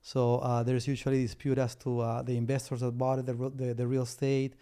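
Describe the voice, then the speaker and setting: A man's voice: medium pitch, monotone, brisk, warm tone, clear, resonant tone. A middle-aged man from Peru, a business litigation lawyer, talking as an interview guest, whose first language is Spanish, with frequent restarts and fillers. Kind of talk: casual interview talk.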